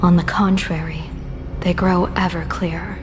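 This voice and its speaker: A woman's voice: lowish voice, little pitch movement, lightly acted. Resolute woman general in cavernous reverb voiced by an actress. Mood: grave, resolute. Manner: measured, authoritative. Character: resolute female general, calm strategist guarding a realm. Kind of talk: dramatic scripted game voice acting. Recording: long reverb, music clearly behind.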